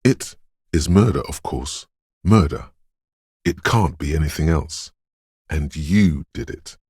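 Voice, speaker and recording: A man's voice: lively intonation, slow pace, darkish tone, dry recording, studio quality, heavily acted. A man voicing a dreamy, mystical inner voice of intuition in a video game, narrating in a brooding, theatrical and intimate way. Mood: accusing, ominous. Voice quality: very deep, gravelly, husky. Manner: brooding, theatrical, addressing the listener as you.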